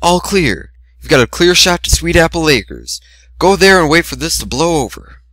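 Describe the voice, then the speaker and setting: A brash young mare from a storybook reading, voiced by a man. The pitch is lifted well above a man's plain talk, the tone is bright, and the manner is breezy and teasing. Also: sing-song, moderate pace, no echo. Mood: urgent. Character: brash, teasing young female flying pony.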